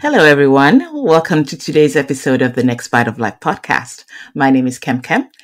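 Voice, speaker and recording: A woman podcast host chatting casually and effusively with a guest, quick to react. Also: very deep voice, lively intonation, soft background music. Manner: effusive, reacts with interjections and laughter.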